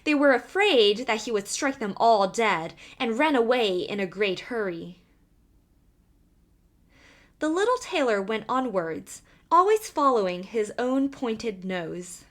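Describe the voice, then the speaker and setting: A woman narrates a scripted fairy tale in a highish voice. Her pitch moves up and down expressively, and she takes her time over each phrase. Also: big pitch swings, slow. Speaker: storyteller.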